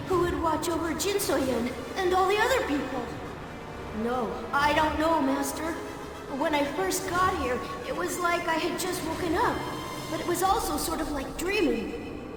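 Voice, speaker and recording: An actress playing an earnest, brave young female student in a video game, with an eager, halting way of talking. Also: high voice. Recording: very echoey hall, distant, music behind.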